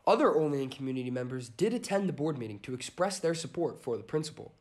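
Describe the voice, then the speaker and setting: A man's voice: medium pitch, animated, quick pace, slight background noise. A young adult man with an American accent reading scripted narration as a student TV news reporter.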